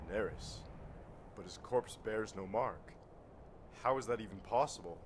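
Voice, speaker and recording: A man's voice: on the low side, moderate pace, a little hiss or hum, lightly acted. A man playing a grave, honour-bound warrior in a fantasy video game, speaking solemnly and formally. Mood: troubled, brooding. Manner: solemn, formal, archaic phrasing.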